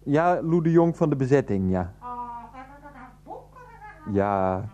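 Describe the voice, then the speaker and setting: Mellow, flustered, placating man. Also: mid-range voice, expressive, takes it slowly, slight background noise. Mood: earnest, coaxing. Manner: hesitant, repetitive, placating, pleading. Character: earnest, flustered adult son and partner, placatingly arguing. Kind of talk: 1990s radio play acting.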